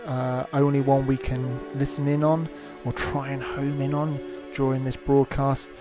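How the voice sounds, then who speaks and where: A man hosting a casual live demonstration, explaining things in a measured way. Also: mid-range voice, level tone. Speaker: livestream host. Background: music.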